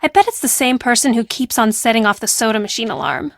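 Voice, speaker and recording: A woman playing a brash, defensive teenage girl who works as a high school hall monitor in a video game, with a sassy, dismissive and emphatic delivery. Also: big pitch swings, fast, gravelly, studio quality. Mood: matter-of-fact. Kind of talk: acted video game dialogue.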